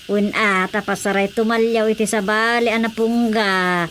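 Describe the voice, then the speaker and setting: A woman's voice: mid-range voice, steady tone, normal speed, fairly bright tone, lightly acted. A woman acting a fond, chatty mother in an Ilocano radio drama comedy. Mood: chiding. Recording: faint background noise.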